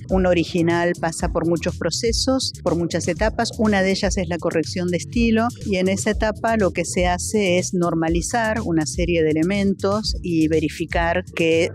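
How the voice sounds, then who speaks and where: Explanatory speaker at a book fair. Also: male voice, fairly high pitch. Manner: explanatory, didactic. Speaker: style-editing workshop speaker. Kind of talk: interview soundbite. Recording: interview with soft music under. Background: music bed.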